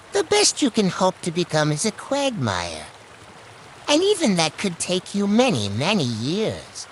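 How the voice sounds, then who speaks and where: A storyteller acts out the voice of the desert sands, a wise and patient storybook spirit. It coaxes and instructs in emphatic, cooing tones, with very animated pitch over faint noise.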